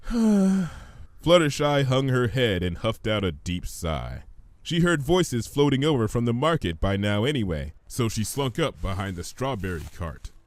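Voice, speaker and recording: A man narrating a scripted story in an expressive, theatrical manner. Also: mid-range voice, a little slow, no room sound.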